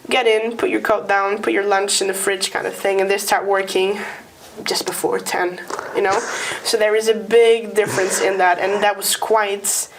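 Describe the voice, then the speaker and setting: A young woman from England talking casually as an interview guest, telling her story in a lively way and checking in with "right?". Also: medium pitch, normal speed, gravelly, noisy background. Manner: lively storytelling with tag questions. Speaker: guest employee.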